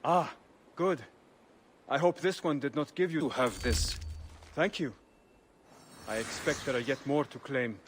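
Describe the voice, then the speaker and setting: An actor playing a courteous, wary scholar of a secret brotherhood in a video game, speaking formally and carefully. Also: male voice, medium pitch, measured pace, long pauses, slight background noise, natural voice. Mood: approving, courteous. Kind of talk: dramatic video game dialogue acting.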